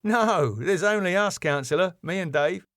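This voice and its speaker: Man putting on a brightly innocent, cheeky fellow. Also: high-pitched, animated, normal speed, no echo, clean studio sound, pitched above the performer's own voice. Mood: protesting.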